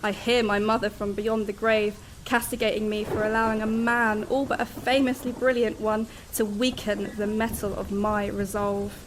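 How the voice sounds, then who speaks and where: A woman's voice: mid-range voice, level tone, moderate pace, few pauses, background noise, natural voice. An actress playing an anguished, brooding young woman writer in a radio drama, speaking in solemn, formal literary monologue. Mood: frustrated, bitter. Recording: faint music under the voice.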